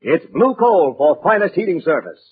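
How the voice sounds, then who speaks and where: Old-time radio announcer, male: highish, very lively, emphatic, tinny broadcast with faint music. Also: normal speed. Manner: emphatic, dramatic. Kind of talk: 1940s radio announcing, scripted narration.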